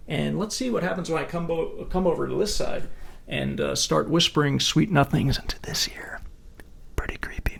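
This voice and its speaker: A man's voice: fairly high pitch, normal speed, creaky, a bit bright. A man in his thirties casually presenting a product review at home into binaural earbud microphones. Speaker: tech review host. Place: indoors in a plain room at home.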